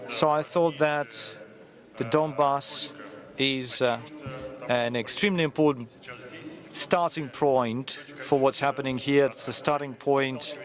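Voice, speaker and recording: A man, a journalist and event organizer, giving loose, informal remarks at a forum, with frequent pauses and restarts. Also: mid-range voice, fairly even intonation. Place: large conference hall.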